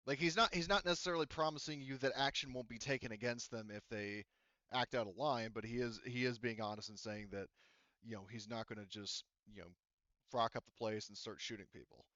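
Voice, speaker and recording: A man's voice: mid-range voice, steady tone, brisk, bright, forceful tone, no echo, muffled recording. A man running a tabletop game as game master, relaying a character's offer in a rambling way with lots of fillers. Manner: rambling, many fillers like "you know" and "like".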